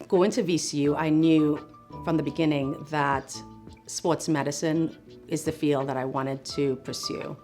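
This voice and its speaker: Middle-aged physician assistant from Trinidad and Tobago, low-pitched, reflective and encouraging, over faint music. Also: female voice, darkish tone. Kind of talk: speaking to camera about her work.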